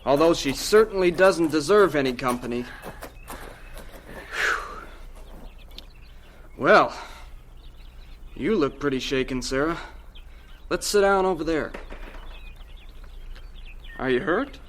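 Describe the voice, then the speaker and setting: A man's voice: fairly high pitch, animated, slow pace, long pauses, a bit bright, slight background noise. An actor playing a protective, kindly young farm man in a radio drama. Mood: gentle, concerned.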